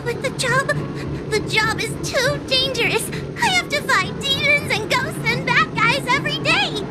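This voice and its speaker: A woman's voice: very high voice, lively intonation, normal speed, low-quality recording. A woman playing a timid, tearful young exorcist girl in a video game, meek and hesitant. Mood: tearful, anxious. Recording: loud music behind the voice.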